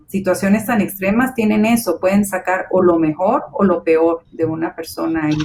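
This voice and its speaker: A woman's voice: lowish voice, darkish tone, slight background noise, poor audio. A woman speaking reflectively as a guest in a television talk-show discussion, often restarting her phrases. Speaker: panel guest.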